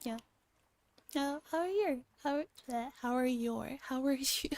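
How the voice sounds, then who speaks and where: A soft-spoken woman streaming a gentle read-aloud in an ASMR style, sometimes slipping into breathy near-whispers. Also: high voice, unhurried, no echo. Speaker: streamer reading a visual novel aloud.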